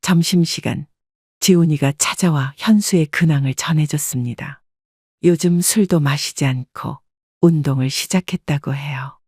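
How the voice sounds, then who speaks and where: A woman reading a scripted first-person story as an audiobook narrator, in a measured, polite storytelling manner. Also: low-pitched, darkish tone, slightly rough, no room sound, clean studio sound.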